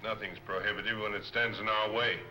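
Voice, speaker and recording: An actor playing a stern, domineering racketeer lieutenant with a curt, businesslike manner in 1940s film serial style. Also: male voice, fairly low pitch, steady tone, brisk, sharp tone, faint music behind.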